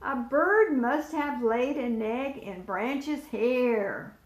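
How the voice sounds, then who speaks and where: A woman's voice: high-pitched, drawn-out words. A woman volunteer reading a picture book aloud to preschoolers, asking them questions and pointing out the pictures. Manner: asks young listeners questions and points to pictures. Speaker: volunteer storyteller. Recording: echoey room.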